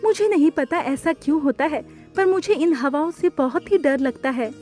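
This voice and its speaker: Woman acting a cartoon mother bird, very high voice, anxious, plaintive, tearful, music behind. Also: normal speed, darkish tone, close to an everyday voice. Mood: frightened. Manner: plaintive, lamenting. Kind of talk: children's cartoon dialogue.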